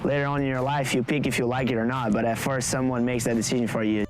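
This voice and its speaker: Earnest young Brazilian soccer player. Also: male voice, mid-range voice, little pitch movement, quick pace, low-quality recording. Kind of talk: casual interview answers. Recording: music bed under interview.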